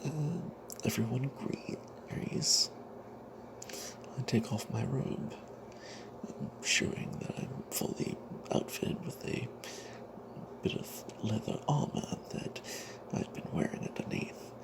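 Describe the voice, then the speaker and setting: Breathy whispering male storyteller with gravelly fry. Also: mid-range voice, background noise. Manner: halting, with long pauses. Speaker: narrator of a tabletop fantasy tale.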